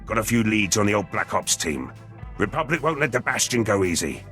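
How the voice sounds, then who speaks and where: An actor playing a gruff, dry military veteran in a video game, speaking in terse, clipped phrases. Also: male voice, on the low side, sing-song, fairly quick, sharp tone, soft background music. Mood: wry, matter-of-fact. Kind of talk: video game dialogue acting.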